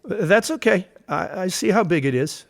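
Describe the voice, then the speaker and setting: An actor playing a nervous, guarded army veteran who works as a painter, speaking tersely and reluctantly in an audio drama. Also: male voice, sits high, expressive, normal speed, gravelly, no room sound, studio quality. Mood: panicky.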